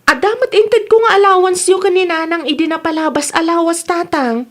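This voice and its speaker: A very high-voiced young-sounding daughter played by a woman from an Ilocano radio comedy drama. She speaks with an Ilocano accent, earnestly nagging and fretting over her parents. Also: a little fast, fairly bright tone. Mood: concerned. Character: concerned, scolding grown daughter who supports her parents.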